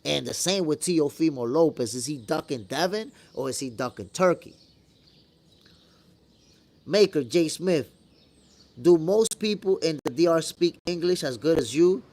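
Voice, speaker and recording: A man's voice: fairly high pitch, animated, a little slow, long pauses, darkish tone. A man with a New York accent hosts a casual boxing live stream, chatting in slang with viewers and answering their comments. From New York, after moving from the Dominican Republic at eight. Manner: conversational and slangy, addressing viewers as brother. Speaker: boxing show host.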